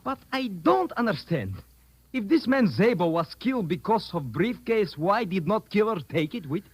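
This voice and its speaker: An actor playing a shifty, money-minded foreign guide with a European accent and excitable broken English in an old radio drama. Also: male voice, high voice, moderate pace, husky, full character voice. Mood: curious. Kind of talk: 1940s radio drama acting. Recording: noisy old radio recording with clicks.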